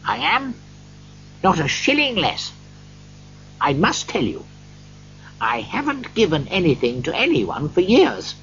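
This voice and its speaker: A narrator acting an exuberant old miser, pitched up. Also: wildly swooping pitch, a little slow, long pauses, fairly bright tone, lo-fi sound, slight background noise, full character voice. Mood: warm, earnest. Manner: exuberant, eager, effusive. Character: elderly miser turned giddy, joyful, generous.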